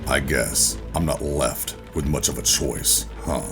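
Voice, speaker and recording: A man's voice: very deep voice, a little slow. An actor playing a bitter, defiant covert operative in a sci-fi audio drama, brooding in his delivery. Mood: grim, resigned. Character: bitter, defiant male covert operative, reluctantly back in service. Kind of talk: sci-fi audio drama acting with first-person narration. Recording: music bed under the voice. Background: music.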